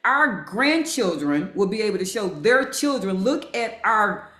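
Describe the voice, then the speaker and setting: A woman with a bright, forceful voice co-hosts a casual chat, firing off quick agreements and stories. The room around her is echoey. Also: medium pitch, big pitch swings. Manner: quick, affirming interjections. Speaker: co-host. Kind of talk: casual conversation. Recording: roomy echoey sound.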